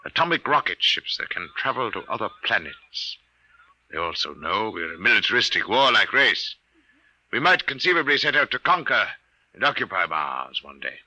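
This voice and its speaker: A man's voice: lowish voice, very animated, moderate pace, sharp tone, no room sound, full character voice, low-quality recording. An actor in a 1950s radio drama playing a formal, precise, deadly serious professorial man. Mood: intense, earnest. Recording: vintage 1950s radio recording.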